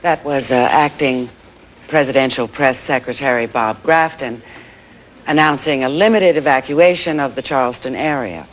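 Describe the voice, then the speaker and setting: A woman's voice: very deep voice, moderate pace, noisy background. Poised, formal female news co-anchor. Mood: poised, serious. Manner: formal, careful questioning. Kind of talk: live news bulletin acting.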